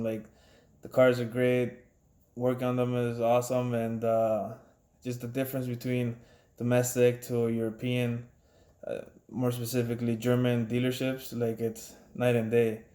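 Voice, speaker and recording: A man in his thirties from Ecuador, a mechanic, introducing himself casually with many pauses and restarts. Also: mid-range voice, echoey room. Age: 30s. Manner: relaxed, halting, many fillers and restarts. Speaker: auto mechanic.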